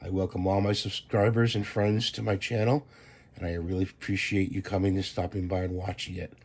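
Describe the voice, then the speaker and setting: A man with a hoarse voice from a cold, hosting his own channel and casually walking through a simple do-it-yourself fix with plain, unhurried instructions. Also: low-pitched, flat delivery. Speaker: DIY channel host. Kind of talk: casual how-to demonstration.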